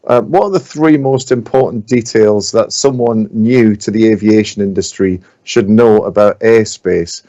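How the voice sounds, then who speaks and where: A man's voice: on the low side, moderate pace, hardly any pauses, mellow, lo-fi sound. A man hosting a podcast, putting questions to guests in a conversational way. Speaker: podcast host. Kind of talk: conversational interviewing.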